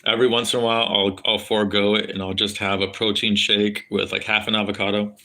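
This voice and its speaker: A man's voice: on the low side, little pitch movement, quick pace, bright, forceful tone. A man with a standard American accent chatting loosely with his live stream viewers, swearing freely and rambling with lots of fillers. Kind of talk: casual rambling chat with viewers.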